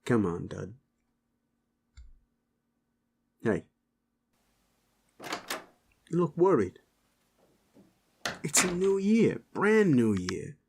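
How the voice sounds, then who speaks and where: A man playing a gruff, sleazy werewolf boss with a hidden soft side, talking rough slang in an intimate, halting audio drama style. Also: mid-range voice, big pitch swings, exaggerated voice. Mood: teasing, suggestive.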